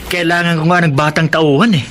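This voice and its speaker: A man's voice: on the high side, steady tone, a little fast, a bit bright, slight background noise, lightly acted. A man playing a sly, wheedling petty crook in a radio drama. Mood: coaxing. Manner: wheedling, coaxing. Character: sly adult male petty crook recruiting a child. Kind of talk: Tagalog radio drama acting.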